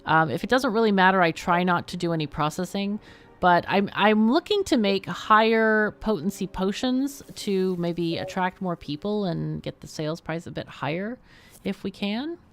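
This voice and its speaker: A woman giving casual live game commentary, chatty and expressive, often muttering to herself as she thinks aloud. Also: mid-range voice, moderate pace. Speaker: game streamer, host.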